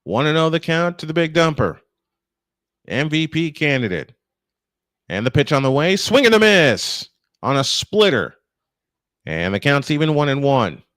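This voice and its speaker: A man's voice: a little high, very animated, moderate pace, pauses often, fairly bright tone, no room sound, studio quality. A man calling a baseball game live as play-by-play announcer, with conversational, opinionated asides. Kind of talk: live sports commentary.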